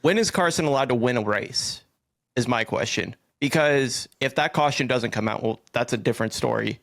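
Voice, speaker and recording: A man's voice: medium pitch, normal speed, gravelly, a bit bright, no room sound, studio quality. A man acting as a podcast producer, chiming in casually and off the cuff.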